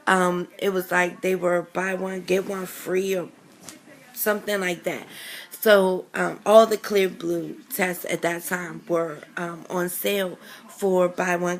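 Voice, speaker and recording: A young woman vlogging casually with a raspy voice from a chest cold, rambling with many ums and self-corrections. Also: fairly low pitch. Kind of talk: casual chatty vlog.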